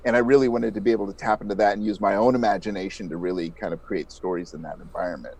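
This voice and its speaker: A man's voice: lowish voice, steady tone, very fast, vocal fry, darkish tone, husky. A middle-aged man, a voice actor and narrator, talking conversationally as an interview guest in an explanatory, enthusiastic way.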